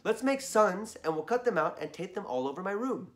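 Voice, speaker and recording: A man voicing an eager young girl who pleads and leads her friends in play, a little hoarse. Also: high-pitched, fairly quick, voice pushed up. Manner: excited, pleading.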